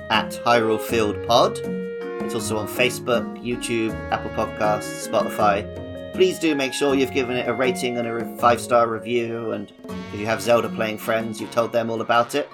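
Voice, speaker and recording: A man's voice: medium pitch, moderate pace, music in the background. A man chatting casually as a podcast co-host. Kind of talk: casual chat.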